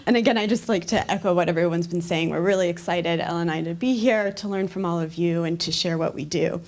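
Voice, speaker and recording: A woman's voice: lowish voice, quick pace, a little mellow. An American woman, a museum educator, giving an upbeat explanatory talk on a conference panel. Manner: upbeat, explanatory, occasional fillers. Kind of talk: presentation talk to an audience.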